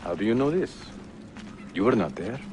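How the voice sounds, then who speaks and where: An older man playing a proud, grieving elderly assassin master in a video game, speaking in a grave, formal, measured way. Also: fairly low pitch, very animated, a little hiss or hum. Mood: stern, resentful. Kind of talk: dramatic video game cutscene acting. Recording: loud music over the dialogue.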